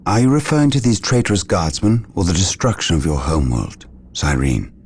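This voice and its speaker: A man playing a solemn, devout space marine advisor in a video game, formal and measured. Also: sits low, animated, a little mellow, slight background noise. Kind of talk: dramatic video game acting.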